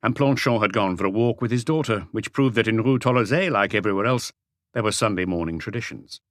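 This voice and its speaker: A man's voice: lowish voice, fast talker, fairly bright tone, no echo, clean studio sound. A man narrating a mystery audiobook as a scripted reading.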